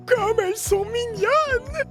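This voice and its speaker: A woman's voice: very high voice, takes it slowly, music in the background. A woman voicing a young male wild boar in a cartoon, eager and good-natured. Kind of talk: French cartoon dubbing.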